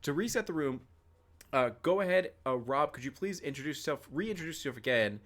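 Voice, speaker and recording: A man's voice: a little high, lively intonation, fairly quick, a bit bright. A man hosting a live-streamed tabletop game as game master, chatty and full of fillers, thanking donors.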